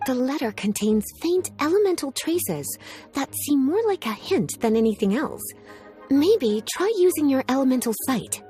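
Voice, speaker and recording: A woman's voice: high voice, sing-song, normal speed, warm tone, faint music behind. A woman voicing an elegant, teasing, doting librarian in a video game, with genteel and composed phrasing. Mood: composed, thoughtful. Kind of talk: video game character acting.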